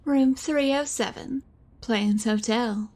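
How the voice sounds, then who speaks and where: A mellow, high female voice an actress in role as a mysterious rich widow in a noir audio drama. She is cool and poised, taking her words very slowly. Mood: cool, sultry. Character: cool, mysterious, wealthy femme fatale widow.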